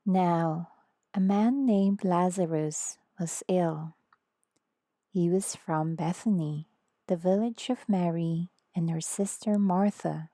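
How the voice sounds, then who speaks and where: A woman narrating scripture as an audiobook, with a measured, reverent delivery. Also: fairly low pitch, warm tone, no room sound.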